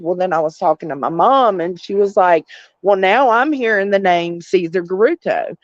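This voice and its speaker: A woman's voice: lowish voice, a little mellow, no echo. Woman in casual chat, dull lo-fi sound. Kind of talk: casual chat on a livestream panel.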